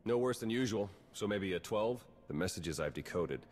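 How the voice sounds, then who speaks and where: Game spy agent, young man: low, rough-edged voice, dry sarcasm, driven, heard in long reverb. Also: animated, normal speed, a little mellow. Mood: dry, wry, then serious, briefing. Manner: dry, sardonic. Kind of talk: video game cutscene acting.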